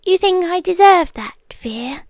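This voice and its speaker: A British woman in an ASMR roleplay, voicing an obsessive young woman who has kidnapped the man she loves. She speaks in soft whispers and muses slowly and calmly. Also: very high-pitched, no room sound, clean studio sound, full character voice. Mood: brooding. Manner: soft whispering, slow musing monologue.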